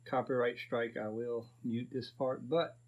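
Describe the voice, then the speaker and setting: A man's voice: medium pitch, little pitch movement, unhurried, muffled recording, very noisy. A man in his fifties casually narrating a repair to viewers, thinking aloud in a folksy way. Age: 50s. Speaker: host of a repair video channel.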